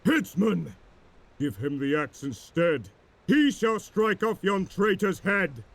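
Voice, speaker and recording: A man's voice: high-pitched, lively intonation, takes it slowly, vocal fry, pitched above the performer's own voice, exaggerated voice. A man voicing a proud, wrathful male admiral in a dramatic verse story, roaring and imperious. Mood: enraged. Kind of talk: dramatic poetry recital.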